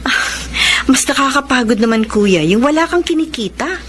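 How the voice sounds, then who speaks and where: A woman's voice: sits high, lively intonation, normal speed, a little hiss or hum. An actress playing a cheerful, resilient hardworking widowed mother in a Filipino radio drama, with a Filipino accent. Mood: upbeat.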